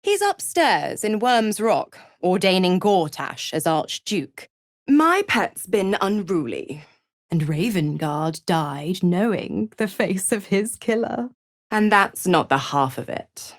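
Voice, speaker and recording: A woman's voice: medium pitch, big pitch swings, slow, dry recording. A cruel, seductive devil woman from a video game. She takes her time, savouring each taunt with theatrical swoops and sultry dips toward a whisper. The sound is close and dry. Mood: gloating. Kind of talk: dramatic video game voice acting.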